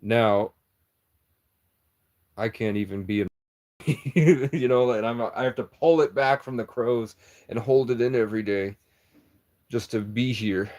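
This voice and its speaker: A man's voice: medium pitch, measured pace, pauses often, a little mellow. A man rambling through a livestream chat in a meandering, conspiratorial monologue, often breaking off and restarting.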